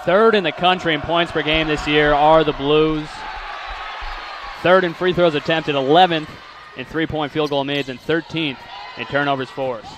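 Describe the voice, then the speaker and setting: A man calling basketball play-by-play, with quick calls that jump in volume on big plays and steady runs of statistics. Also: on the high side, a crowd in the background. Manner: rapid calls rising loud on big plays, steady stat rundowns. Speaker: play-by-play announcer.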